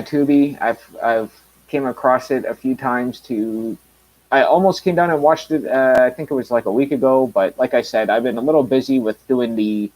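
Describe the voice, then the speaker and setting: A man's voice: mid-range voice, darkish tone, rough recording. Rambling man in his thirties. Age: 30s. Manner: rambling, many fillers and restarts. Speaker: channel host. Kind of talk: casual live stream chat.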